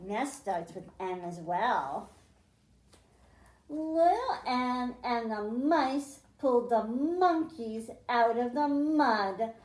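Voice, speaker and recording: A woman's voice: on the high side, expressive, drawn-out words, a little mellow, reverberant. A woman librarian reading a picture book aloud to children, stressing the m sounds in a sing-song storytime way, then explaining a science activity. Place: library room.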